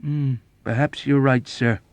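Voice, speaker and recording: A man voicing a sly, timid wounded airman in an audiobook, deferential but quick to protest. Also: mid-range voice, very animated, no room sound, voice pushed up, lightly acted. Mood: sly deference. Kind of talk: audiobook character acting.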